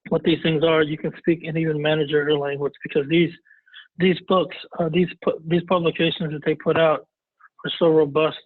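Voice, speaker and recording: A man with a cold, his voice raspy and hoarse, presenting a webinar in a halting way full of fillers and restarts. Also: on the high side, no room sound. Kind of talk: casual presentation. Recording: dry, tinny phone-like line.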